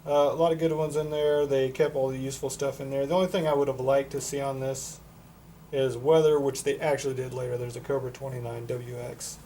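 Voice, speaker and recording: A man walks through a product in a casual, plain-spoken way. His voice is on the mellow side and stays fairly level in pitch. There is some faint background noise in the recording. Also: level tone, a little fast. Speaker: product reviewer for a CB radio shop.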